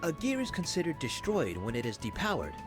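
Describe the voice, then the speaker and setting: A man playing a formal, instructive professor in a video game, lecturing in a measured, articulate way. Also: on the high side, wildly swooping pitch. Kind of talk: scripted game voice acting, classroom lecture. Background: game music.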